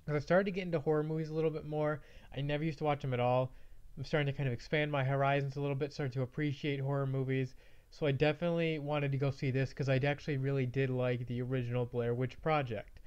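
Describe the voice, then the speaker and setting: A man's voice: medium pitch, flat delivery, quick pace, a little mellow, smooth. Man with a slight cold, slightly muffled audio. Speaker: movie review show host. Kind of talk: casual movie review to viewers. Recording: slightly dull, band-limited audio.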